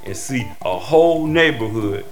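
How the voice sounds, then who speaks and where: A man's voice: medium pitch, lively intonation, measured pace. An American man talking casually and bluntly, with swearing and emphatic repetition. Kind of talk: impassioned casual talk. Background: electronic music.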